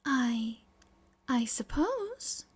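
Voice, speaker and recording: A woman voicing a shy, proper teenage girl who speaks softly and haltingly, with some breathiness, in a fan fiction audio story. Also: sits high, very animated, heavily acted. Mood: flustered. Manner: hesitant, soft, breathy, halting.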